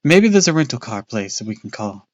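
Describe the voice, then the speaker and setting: A man voicing a calm, reassuring mother, speaking softly and raised in pitch. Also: brisk, no room sound, muffled recording, close to an everyday voice. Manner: soft, reassuring. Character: calm, soothing mother, middle-aged.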